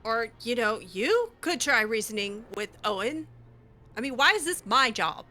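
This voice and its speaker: A woman's voice: a little high, sing-song, a little slow, bright, forceful tone. A woman hosting a role-play gaming video, commenting casually in character with an exasperated, sardonic manner.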